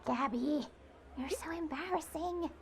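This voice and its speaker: A woman's voice: sits high, unhurried, a little hiss or hum. A woman playing an awkward, sweet, easily flustered teenage girl who stammers, in an audio drama. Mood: friendly.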